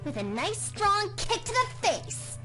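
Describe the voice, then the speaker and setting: A woman playing an irate, threatening female pony in a radio play, with a menacing, drawn-out delivery. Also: very high-pitched, big pitch swings, bright, forceful tone, soft background music, heavily acted.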